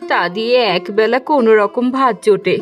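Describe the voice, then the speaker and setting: A woman's voice: on the high side, a little fast, soft background music, lightly acted. A woman voicing a weary, scolding mother from a poor village household in a cartoon, in an exasperated, nagging manner. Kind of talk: Bengali cartoon dialogue.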